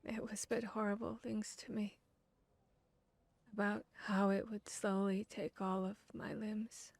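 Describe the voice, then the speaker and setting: Wry, wounded young female vampire hunter, acted by a woman, for fantasy ASMR roleplay; unhurried, confessional delivery, dry close sound. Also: mid-range voice, dry recording. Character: young woman vampire hunter confiding a traumatic past, wry and self-deprecating.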